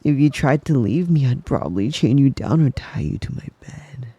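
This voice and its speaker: A man as a breathy, possessive yandere wolf boy. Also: mid-range voice, animated, dry recording. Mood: possessive menace. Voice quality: soft, breathy, often dropping to a whisper. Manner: cooing praise, slow, with little chuckles. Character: possessive yandere wolf boy, doting, sweetly menacing boyfriend. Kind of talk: intimate ASMR roleplay monologue. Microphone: close mic.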